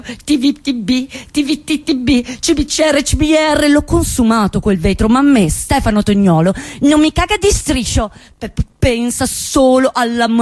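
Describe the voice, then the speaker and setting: An actress playing an infatuated, excitable teenage girl in a gushing, dramatic stage monologue. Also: a little high, voice pushed up, lightly acted. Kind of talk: theatrical monologue.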